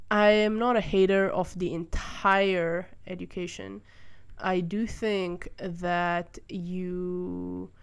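A woman's voice: lowish voice, clear, resonant tone. A young woman from Malaysia hosting a solo podcast, talking through her views in a thoughtful, hesitant way with many fillers and restarts, sitting by a window. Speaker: podcast host. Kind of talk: casual solo monologue. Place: room by a window, rain outside.

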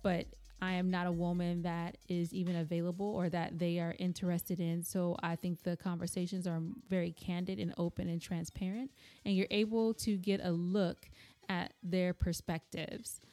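A woman co-hosting a podcast in casual banter, playful, teasing and excitable. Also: fairly low pitch, normal speed. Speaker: podcast co-host. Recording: music under the voice.

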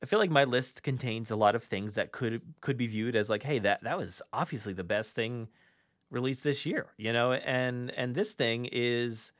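A man's voice: medium pitch, moderate pace, fairly bright tone, no echo, clean studio sound. A man hosting a podcast in casual fan chat, enthusiastic but often restarting and repeating his phrases. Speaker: podcast host.

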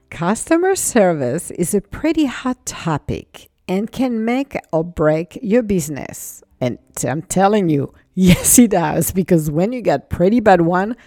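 A woman with a non-native English accent hosting a casual solo podcast, animated and laughing often, speeding up when excited. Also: low voice, no echo, clean studio sound. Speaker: podcast host.

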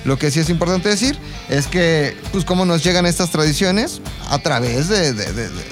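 A man's voice: on the high side, lively intonation, normal speed. A man with a Mexican accent telling history as casual gossip, explaining informally with slang and imagined quotes. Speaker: podcast co-host telling history. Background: background music.

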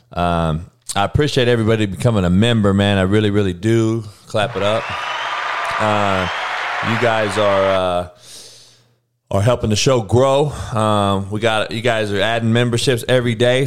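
Man in his forties, podcast host, casual and profane, smooth clear voice, mid pitch. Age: 40s. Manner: profane, loose and rambling, talks to the chat. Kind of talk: casual livestream chat with viewers.

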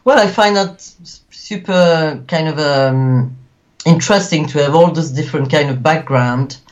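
A man's voice: on the high side, measured pace. Man, Belgian French accent, earnest, rambling, filler-heavy, muffled audio. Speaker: guest actor. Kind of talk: casual interview.

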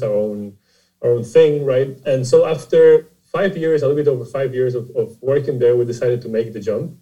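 Very dark-voiced Costa Rican man. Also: mid-range voice, muffled recording. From Costa Rica. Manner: halting, repeats words while explaining. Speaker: guest, startup CEO. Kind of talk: conversational interview.

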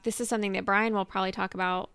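A woman's voice: medium pitch, flat delivery, racing, a bit bright, clear, resonant tone, no echo. A woman co-hosting a podcast in casual chat, speaking informally with plenty of "like". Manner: informal, peppered with "like". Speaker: podcast co-host.

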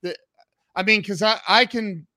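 Male podcast host, slow and stop-start, repeats words, kinda high voice. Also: bright, forceful tone, no echo, studio quality. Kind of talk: interview chat.

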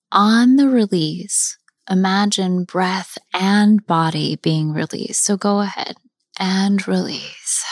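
A woman guiding a chakra body scan meditation, speaking softly and often half-whispering, with long pauses. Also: fairly low pitch, no room sound, clean studio sound. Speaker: meditation guide. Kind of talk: guided meditation.

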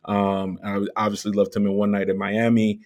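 A man co-hosting a casual film commentary, talking in a measured, halting way as he thinks aloud. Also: lowish voice, monotone, a little mellow. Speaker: podcast co-host.

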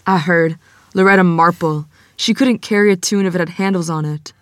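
A woman's voice: lowish voice, fairly quick, no echo, clean studio sound, close to an everyday voice. A woman playing an ailing, frank, devout small-town organist in a table read, with folksy, plainspoken phrasing. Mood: wry, frank. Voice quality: coughing.

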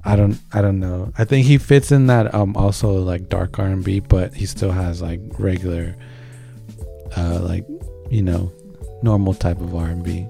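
Guy w a deep-ish soft mellow voice, casual slangy music show host, hyped on songs, music in the back. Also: steady tone, normal speed, vocal fry. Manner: informal, slangy, enthusiastic. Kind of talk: casual chat introducing songs.

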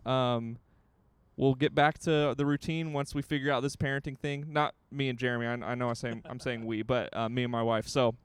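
A man's voice: mid-range voice, steady tone, fairly quick, smooth, no room sound. A young man co-hosting a podcast in casual, playful, rambling chat, recorded outdoors in a courtyard. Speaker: podcast co-host.

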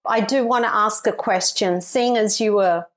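A woman's voice: medium pitch, normal speed. A woman hosting a singing podcast, chatty and a little dramatic, talking while ill with covid. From Australia. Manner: chatty, dramatic, laughs easily. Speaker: podcast host. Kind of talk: casual interview chat.

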